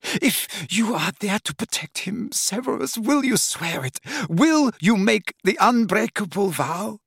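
A woman's voice: mid-range voice, lively intonation, unhurried, creaky, husky, no echo, studio quality, pitched above the performer's own voice, full character voice. A man voicing a desperate weeping mother who pleads in a choked, tearful voice. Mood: begging. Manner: choked, pleading, near tears. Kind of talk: audiobook character voice.

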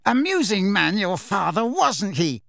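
A man's voice: mid-range voice, huge pitch swings, extremely slow, gravelly, fairly bright tone, breathy edge, no echo, full character voice. A man acts out a sneering schoolmaster for an audiobook. He is bitter and menacing, drawing words out with icy sarcasm. The recording is close and dry. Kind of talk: dramatic audiobook character voice.

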